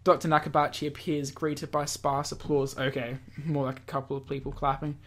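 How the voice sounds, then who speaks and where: A man's voice: a little high, level tone, brisk, a bit bright. A male gaming YouTuber reading a visual novel aloud and adding casual commentary. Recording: game music behind. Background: visual novel game music.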